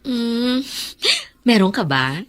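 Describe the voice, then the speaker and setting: Chirpy young bestie in radio drama, really high voice, super lively n singsong. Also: female voice, takes it slowly. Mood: chatty, caring. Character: chirpy, caring young female best friend from the province. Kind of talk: Filipino radio drama acting.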